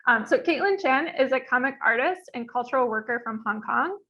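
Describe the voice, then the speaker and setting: A woman's voice: sits high, expressive, brisk, pushed and bright, highs cut off. A woman speaking as moderator of an online event, informally and a little hesitantly introducing it and its guests. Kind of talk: informal event introduction, partly reading bios. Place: online video call.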